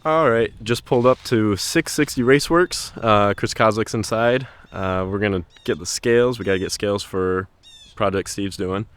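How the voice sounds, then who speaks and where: A man's voice: fairly low pitch, normal speed, clean tone. A man on a shop errand, chatting casually in short, matter-of-fact remarks. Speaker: crew member on a shop errand. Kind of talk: casual on-camera chat.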